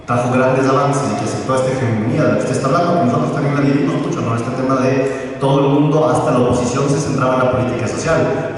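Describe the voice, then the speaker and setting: An Ecuadorian man, mid-pitched, asks a long, searching question from the floor, often closing phrases with a questioning tag. His voice carries from far off in a large space with a long reverb tail. Light background hiss. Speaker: audience member asking a question. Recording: echoey courtyard, distant mic, faint noise.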